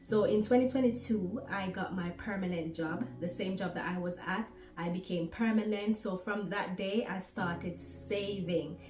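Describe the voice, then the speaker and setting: A woman's voice: medium pitch, moderate pace, few pauses, darkish tone, recorded from a distance. A Jamaican woman in her twenties talking casually about her life while she cooks at home, chatty and rambling with small restarts. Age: 20s. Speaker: small YouTuber. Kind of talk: casual talk while cooking. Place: home kitchen. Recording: roomy, from off the mic, faint music.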